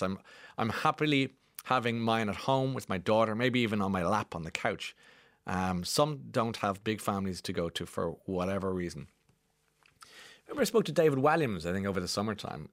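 A man's voice: lowish voice, no room sound, studio quality. Chatty man hosting morning radio. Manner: chatty, warm banter with callers. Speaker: radio host. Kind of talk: morning radio chat, reading texts.

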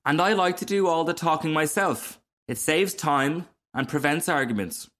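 A man as a high-pitched, chatty little frog. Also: moderate pace, a bit bright, lightly acted. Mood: complacent. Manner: complacent, garrulous, genteel. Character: cheerful, chatty, self-satisfied little male frog. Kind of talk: storybook character reading.

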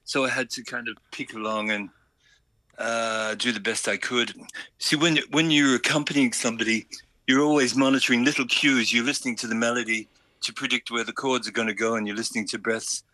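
An Irish man, a musician and radio guest, telling stories in a relaxed, self-deprecating way with a chuckle. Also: medium pitch, brisk, dry recording, rough recording. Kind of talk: phone-in radio chat.

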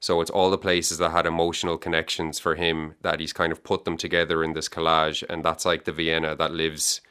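Irish guy author, deep voice, smooth, thinks out loud with lots of yeah yeah. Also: little pitch movement, fairly quick. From Ireland. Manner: thoughtful, lots of yeahs and restarts. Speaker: author guest. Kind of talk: reflective interview answers.

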